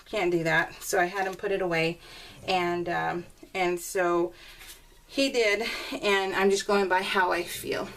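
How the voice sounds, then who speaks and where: A woman's voice: on the low side, a little slow, distant mic, a little hiss or hum, rough recording. A woman chatting casually in a rambling, personal storytelling way, like a crafting vlogger talking as she works. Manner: chatty, animated when retelling conversations. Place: indoors at a craft table.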